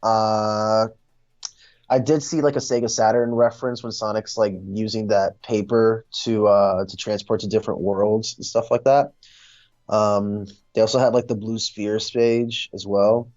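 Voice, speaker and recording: A man hosting a casual film discussion, speaking in a hesitant, halting way. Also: fairly low pitch, fairly even intonation, darkish tone, dry recording, dull audio.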